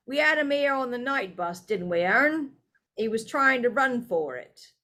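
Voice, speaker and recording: Woman putting on an excitable gossipy young bus conductor, loud and dramatic, slow drawn-out delivery, storybook reading. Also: fairly high pitch, voice pushed up, exaggerated voice. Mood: gleeful.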